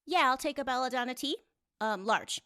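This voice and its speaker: Breezy female magical customer, high-pitched, animated, teasing, audio drama. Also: a little fast, no room sound, studio quality.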